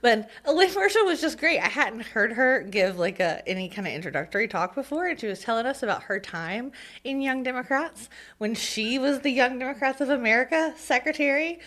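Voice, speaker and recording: A woman co-hosting a podcast, chatting casually about local politics, often laughing and pausing with fillers. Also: a little high, lively intonation, fairly bright tone. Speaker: podcast co-host.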